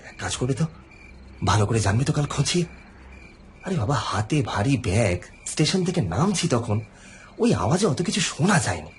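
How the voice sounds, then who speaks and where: An actor playing a harried, placating, gossipy husband in an audio horror drama, speaking colloquially and hesitantly with sighs and fillers. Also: male voice, medium pitch, big pitch swings, a little fast, pauses often, slight background noise, close to an everyday voice. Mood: uneasy, gossipy. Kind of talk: Bengali audio horror story acting. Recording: background music under the voice.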